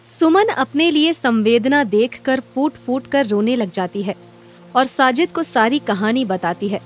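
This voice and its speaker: A woman's voice: a little high, measured pace, soft background music. A woman narrating a scripted moral story as a storyteller.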